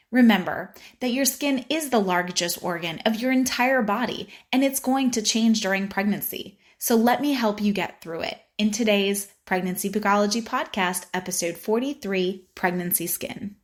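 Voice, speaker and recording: A woman with a Spanish accent hosting a podcast, explaining health topics in an upbeat way with playful asides. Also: mid-range voice. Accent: Spanish-accented English. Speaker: podcast host. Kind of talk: informative podcast monologue.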